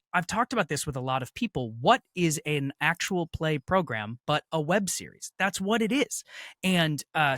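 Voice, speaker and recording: A man's voice: on the high side, a bit bright, no room sound, clean studio sound. A man speaking as an interview guest, explaining at length in an informal, articulate and emphatic way. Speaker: interview guest, festival director and podcaster.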